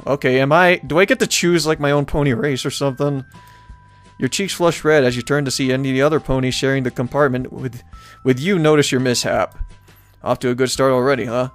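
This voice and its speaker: A man narrates a visual novel in a casual let's play style. He slips between reading and playful, wry remarks, with music running behind his voice. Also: medium pitch.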